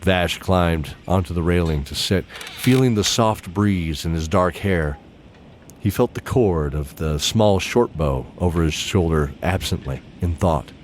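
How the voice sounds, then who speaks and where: Male narrator, deep voice, scripted fantasy storytelling over background ambience. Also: a little slow. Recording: clear background ambience noise.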